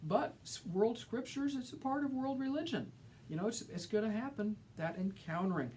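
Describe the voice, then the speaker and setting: A man's voice: sits high, normal speed, darkish tone, a little hiss or hum. A middle-aged man from Alabama with a Southern accent, giving an earnest, enthusiastic welcome lecture as a college instructor, often repeating phrases for emphasis. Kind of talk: lecture-style course welcome.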